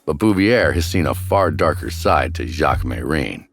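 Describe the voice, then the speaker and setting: Very deep-voiced man narrating true crime. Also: expressive, moderate pace. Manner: suspenseful storytelling. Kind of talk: dramatic scripted documentary narration. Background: background music.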